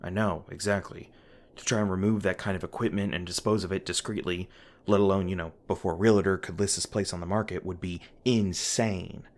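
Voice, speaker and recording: A man playing a weary, guarded monster hunter in an audio roleplay, gruff but caring, with halting, sardonic, sweary speech. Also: sits low, fairly even intonation. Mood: wry, casual. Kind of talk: audio roleplay, one-sided dialogue to the listener. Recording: noisy ambient sound effects behind voice. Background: ambient sound effects.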